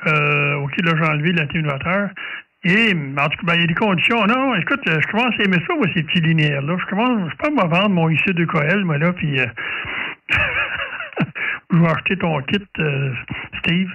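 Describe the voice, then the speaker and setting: A man's voice: on the high side, brisk, pushed and bright. A man with a Quebec French accent chatting casually and hesitantly as an amateur radio operator. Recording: dull, distant-mic home recording.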